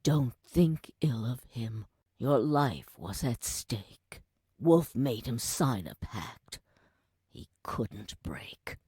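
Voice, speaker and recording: A husky, very slow old grandmother. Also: a bass voice, expressive, mellow, no echo, studio quality, heavily acted. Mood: grave, weak. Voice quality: husky, breathy. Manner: slow, solemn, pleading storyteller delivery. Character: frail old dying grandmother, urgent, remorseful, mystical mentor. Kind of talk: dramatic video game voice acting.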